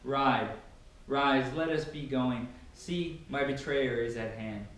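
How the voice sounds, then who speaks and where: A man's voice: medium pitch, takes it slowly, fairly bright tone, slight background noise. A man playing Jesus in a dramatic scripture reading, solemn, sorrowful and commanding, with emphatic delivery. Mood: grave reproach. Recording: heavily echoing room.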